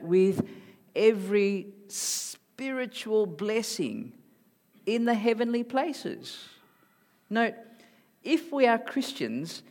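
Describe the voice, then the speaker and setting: A man from Malaysia with a mixed Malaysian, Singaporean and Australian accent, preaching a sermon emphatically and rising to loud high emphasis. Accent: mixed Malaysian, Singaporean and Australian English. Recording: hall reverb.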